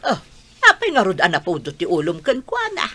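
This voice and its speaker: Bright, scolding Ilocano mother. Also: fairly low pitch, huge pitch swings, fairly quick, no echo, heavily acted. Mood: concerned, scolding. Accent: Filipino, Ilocano. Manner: admonishing. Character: scolding, moralizing mother, concerned and firm. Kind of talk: radio drama acting.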